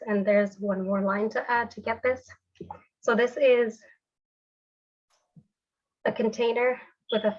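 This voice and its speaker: A woman with a stuffy, congested voice talks through a software demo one step at a time. Her pitch sits in the middle, and the call audio is a little dull. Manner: steady step-by-step explanation. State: congested from a cold. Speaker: developer demonstrating a technique. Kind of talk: screen-share software tutorial.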